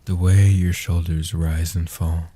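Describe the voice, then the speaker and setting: A man playing a centuries-old guardian vampire, gentle and protective, speaking softly and huskily in hushed, slow phrases for a comfort roleplay audio. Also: sits low, level tone. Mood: gentle, reassuring. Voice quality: soft-spoken, husky. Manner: slow, hushed delivery with long pauses.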